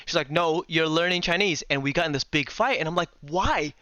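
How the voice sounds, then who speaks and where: A young man from Indonesia hosting a casual online chat, telling lively stories, acting out family arguments and laughing often. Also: on the high side, brisk, no room sound. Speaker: host.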